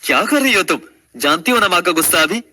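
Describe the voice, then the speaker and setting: A man playing a young husband in a family story, caring yet exasperated, emphatic and dramatic, with a husky voice. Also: high-pitched, expressive, quick pace, bright, forceful tone, full character voice.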